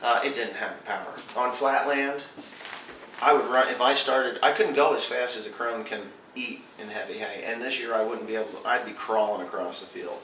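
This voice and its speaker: A male farmer spins casual, folksy tales in an animated, colloquial way. His medium-pitched voice is picked up at a distance in an echoing room with clear background noise. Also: a little fast. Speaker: farmer telling stories. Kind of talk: casual rambling storytelling among friends. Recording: roomy, distant mic, noisy background.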